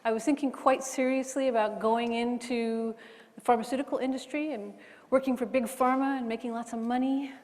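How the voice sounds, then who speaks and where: A woman's voice: on the high side, steady tone, brisk, darkish tone. A middle-aged woman who grew up in Hawaii, with an accent between American and British, telling her story on a forum panel in a candid, self-deprecating way with frequent restarts. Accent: mixed American and British. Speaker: bioethicist panelist. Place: large lecture hall. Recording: hall with long reverb.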